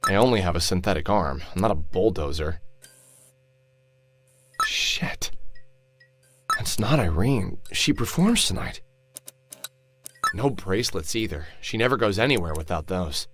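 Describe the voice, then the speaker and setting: Nod, a gruff hard-drinking cyborg. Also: male voice, low-pitched, expressive, a little slow, pauses often, fairly bright tone, faint music behind, exaggerated voice. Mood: brooding. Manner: blunt, sarcastic, muttering to himself. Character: gruff hard-drinking man with a synthetic arm.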